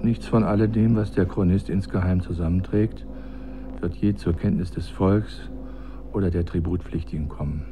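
An actor in a radio drama playing a humble, fearful court chronicler who recites gravely and deliberately. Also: male voice, sits low, fairly even intonation, dark tone, slight background noise, natural voice. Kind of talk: German radio drama acting.

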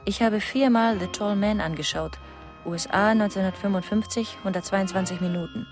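An actress playing a lonely, fearful wife who recites observations in a detached, clipped radio drama monologue. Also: female voice, fairly even intonation, a little fast, natural voice. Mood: clinical, melancholy. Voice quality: low, creaky. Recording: music behind the voice.

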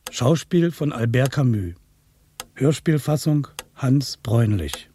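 A man's voice: medium pitch, expressive, slow, dark tone, a little hiss or hum. A man announcing a radio play in a scripted style.

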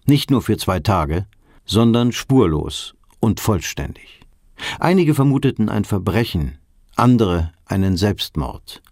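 An actor playing a sober documentary narrator in a radio drama, reading scripted reports in a measured, factual manner. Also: male voice, on the low side, sing-song, darkish tone, no echo, clean studio sound, exaggerated voice.

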